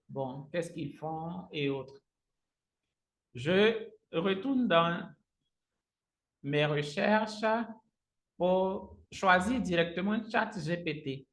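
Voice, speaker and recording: A man whose native language is Agni, teaching a live software tutorial step by step as an online trainer, often repeating his phrases. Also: high voice. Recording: roomy, echoing sound.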